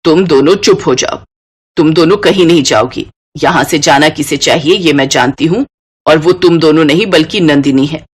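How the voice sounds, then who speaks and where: A woman's voice: sits low, moderate pace, husky. A woman voicing an older Indian mother-in-law in a storybook tale, firm and motherly, given to scolding. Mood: stern.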